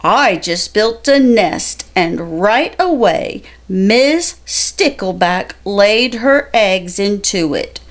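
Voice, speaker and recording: Woman voicing a contented, unhurried daddy fish. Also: medium pitch, expressive. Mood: pleased. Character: contented male fish, proud egg-minding father.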